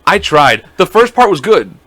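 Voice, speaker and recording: A young man co-hosting a podcast, riffing and swearing through loose stories. His voice sits a bit high and bright, and his pitch swings widely as he talks. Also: normal speed, low-quality recording. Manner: profane, joking, animated storytelling. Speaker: podcast co-host. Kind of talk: casual podcast chat.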